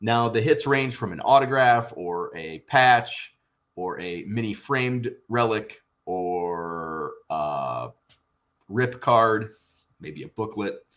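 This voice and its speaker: A man with a somewhat low voice, still husky from the morning, talks through his vlog in a loose, rambling way. He fills his pauses with plenty of ums and uhs and keeps the tone conversational. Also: animated, a bit bright. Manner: rambling, filler-heavy, conversational. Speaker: card collecting vlogger.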